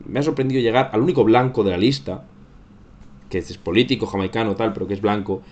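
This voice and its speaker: A man with a low-pitched voice and an accent from Spain talks through current affairs live. He is conversational and unscripted, often doubling back to repeat or reword a phrase as he goes. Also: quick pace, a little mellow, muffled recording. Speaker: host of a current affairs livestream. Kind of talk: casual live news commentary.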